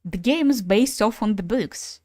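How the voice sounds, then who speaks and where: A woman's voice: medium pitch, lively intonation, a little slow, dark tone, no room sound, studio quality. Chatty young woman streamer, Ukrainian native. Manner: chatty, swears casually, laughs between thoughts. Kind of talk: casual gaming stream chat.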